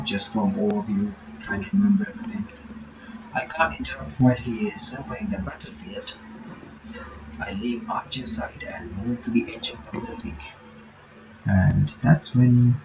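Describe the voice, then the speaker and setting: Man reading a visual novel aloud, casual and halting, dull distant mic, noise behind. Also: mid-range voice. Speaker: game reaction streamer.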